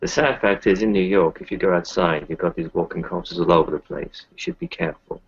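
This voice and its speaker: A man playing a reassuring, cautioning werewolf packmate in an improvised roleplaying game, with a gravelly, husky voice. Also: low-pitched, animated, a little fast, dry recording, heavily acted. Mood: calm, cautioning. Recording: dull lo-fi voice recording.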